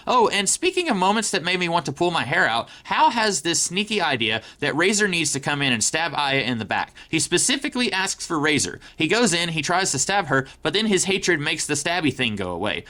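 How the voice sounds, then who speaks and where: Lively, bright-voiced man reviewing cartoons. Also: a little high. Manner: sarcastic, mocking asides; animated, emphatic delivery. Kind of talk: scripted review monologue.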